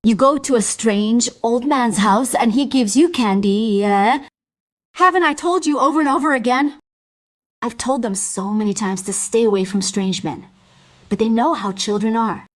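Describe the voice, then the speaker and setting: A woman's voice: on the high side, moderate pace, close to an everyday voice. A woman playing a strict, exasperated mother in an audio drama, scolding and nagging.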